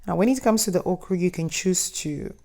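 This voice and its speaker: A woman's voice: on the low side, brisk, creaky, mellow. A young woman with a Ghanaian accent, hosting a cooking tutorial in a friendly, instructive voice-over with chatty asides. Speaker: cooking channel host.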